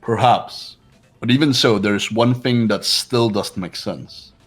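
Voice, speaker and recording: Lowish, skeptical, shrewd young man, acted. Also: slow. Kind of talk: fan-voiced visual novel game dialogue. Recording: music behind the voice.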